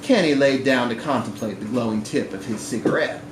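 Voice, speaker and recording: A man reading literary fiction aloud to a live audience in a bar, with an expressive, theatrical delivery. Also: medium pitch, a little fast. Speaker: story reader at a live literary event. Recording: echoey live room recording with background noise.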